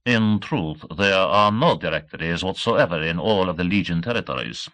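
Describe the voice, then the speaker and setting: A man's voice: sits low, lively intonation, moderate pace, fairly bright tone, no room sound. A man voicing an immense, dignified and sly French hotel concierge in an audiobook, with a French accent and a florid, formal way of talking. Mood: dispassionate.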